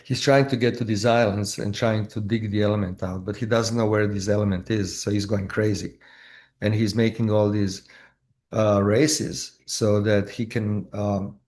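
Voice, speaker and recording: Halting low-voiced man from Croatia. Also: steady tone, a little mellow. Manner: halting, with frequent restarts and fillers. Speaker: filmmaker guest. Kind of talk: casual interview.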